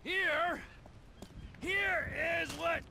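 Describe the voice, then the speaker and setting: Volatile middle-aged crook, high and bright, mocking drawl, game cutscene. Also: male voice, very slow, slight background noise, exaggerated voice. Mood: mock gratitude. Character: volatile, sleazy middle-aged male criminal drug dealer. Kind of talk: video game cutscene acting.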